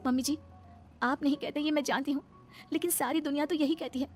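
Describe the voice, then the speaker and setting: Young widowed daughter-in-law, female actor, cartoon melodrama: high-pitched, fast, self-effacing, sorrowful, over music. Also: fairly even intonation, a little mellow, natural voice. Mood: bitter, hurt. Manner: pleading, deferential. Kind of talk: Hindi cartoon family melodrama. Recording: music behind the voice.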